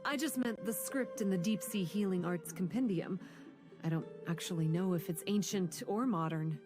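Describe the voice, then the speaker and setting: A woman voices a masked, half-snake shrine maiden in a game who stays guarded and evasive. Her voice sits low, and game music plays behind her. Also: normal speed, lightly acted.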